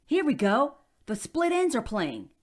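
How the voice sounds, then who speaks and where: Bold, high-pitched ex-rocker pal. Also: female voice, wildly swooping pitch, measured pace, full character voice. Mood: playfully bossy. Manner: bossy, teasing, exuberant. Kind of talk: sci-fi romance audio drama.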